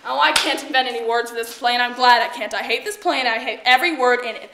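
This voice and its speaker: A woman's voice: high voice, lively intonation, a little fast. A woman acting a chatty, fretful housemaid and disgruntled actress in a theatrical monologue, with dramatic, emphatic, gossipy delivery. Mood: exasperated. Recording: echoey room with faint noise and clicks.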